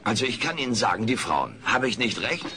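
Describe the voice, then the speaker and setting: A man's voice: fairly low pitch, big pitch swings, fairly quick, crowd noise. A man playing a smug, wisecracking furry alien comedian in a German audio drama. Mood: performing jokes. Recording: noisy old cassette audio drama.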